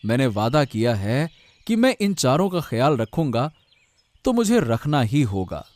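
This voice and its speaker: A man's voice: mid-range voice, sing-song, measured pace, darkish tone, dry recording. A man voicing a kind, anxious, protective family friend in a cartoon story, with a stammering, soothing manner. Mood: consoling. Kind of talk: Hindi cartoon story voice acting.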